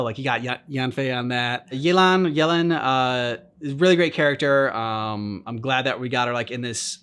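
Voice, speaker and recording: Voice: mid-range voice, bright, forceful tone, clear, resonant tone. A reaction video host chatting casually about a game in hesitant, meandering phrases.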